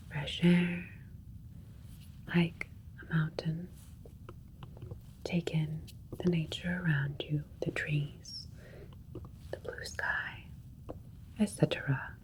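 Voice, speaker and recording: A woman voicing a calm, clinical female alien doctor in an ASMR roleplay, soothing and methodical in a soft, breathy whisper. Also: low-pitched, drawn-out words, pauses often, a little hiss or hum, rough recording.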